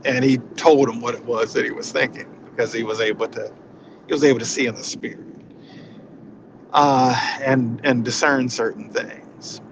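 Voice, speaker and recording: A man giving an informal inspirational talk in a reflective, halting way, often repeating his phrases. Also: medium pitch, steady tone, creaky, highs cut off, noisy background. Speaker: spiritual session leader.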